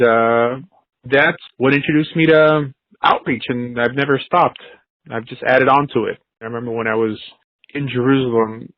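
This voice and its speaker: A man's voice: medium pitch, no echo, narrow, tinny audio. A man, casually chatting and telling stories as host of a talk. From United States. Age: 40s. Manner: relaxed, chatty, storytelling. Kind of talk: casual conversation.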